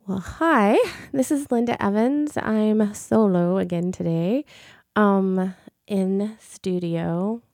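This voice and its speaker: Middle-aged woman, solo radio host, slightly rough voice after illness, low gravelly tone, chatty with frequent ums, dry studio sound. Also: slow pace, a little mellow, dry recording. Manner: chatty, many ums and restarts. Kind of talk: solo casual radio talk.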